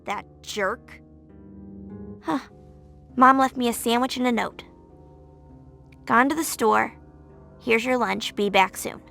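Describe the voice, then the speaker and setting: An actress playing a spunky, curious, sarcastic young girl in a video game, perky and expressive. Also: on the high side, slow pace, gravelly, pauses often, soft background music, full character voice. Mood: sarcastic, grumbling. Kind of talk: video game character acting.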